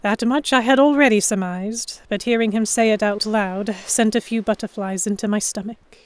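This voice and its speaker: A woman narrating a first-person historical romance story with careful, expressive, scripted delivery. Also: mid-range voice, normal speed, dry recording. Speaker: narrator and lead heroine.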